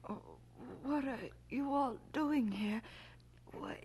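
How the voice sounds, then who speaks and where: A woman's voice: high voice, a little mellow, slightly rough, full character voice. An actress playing a despairing, wounded young woman in an audio drama, weak, tearful and faltering. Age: young adult.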